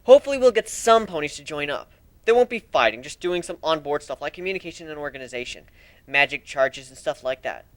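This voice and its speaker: Brash griffin pirate leader, read by a man: higher register, highly animated, cheeky storybook delivery. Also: fast. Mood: cheeky, upbeat. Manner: cheeky, conversational, exclamatory. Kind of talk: storybook character reading.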